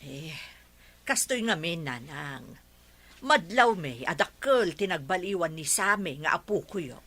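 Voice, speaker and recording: A woman's voice: on the low side, animated, a little slow, pushed and bright, heavily acted. A woman playing a nosy, suspicious village mother in an Ilocano radio drama, with an Ilocano Filipino accent and emphatic, prying manner.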